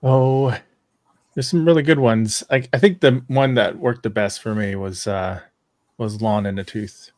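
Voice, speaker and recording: A man's voice: mid-range voice, fairly even intonation, a little slow, a little mellow, dry recording, clean studio sound. A man speaking casually and off the cuff as a fan panelist. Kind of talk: casual chat.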